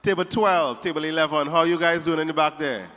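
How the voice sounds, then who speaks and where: A man's voice: a little high, steady tone, brisk, sharp tone. A man emceeing a wedding reception, playfully teasing the guests table by table. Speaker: master of ceremonies. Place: large reception hall. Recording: reverberant hall.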